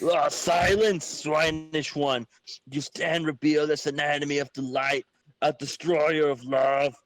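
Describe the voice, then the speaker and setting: A man's voice: fairly high pitch, fairly even intonation, unhurried, no room sound, close to an everyday voice, poor audio. A man voicing a solemn, pompous cult leader who gives grandiose archaic commands in an amateur comic-book reading. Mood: stern, solemn.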